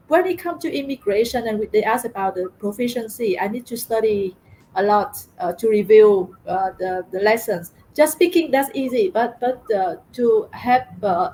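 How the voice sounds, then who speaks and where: A middle-aged woman from Vietnam with a Vietnamese accent, telling her story as an interview guest, halting with many fillers and repeated words. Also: medium pitch, dark tone, slight background noise, poor audio.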